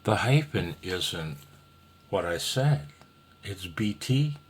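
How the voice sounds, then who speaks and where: A man's voice: low voice, big pitch swings, slow, slight background noise. A man giving a casual, rambling amateur radio tutorial in a folksy, self-deprecating, slightly nervous way.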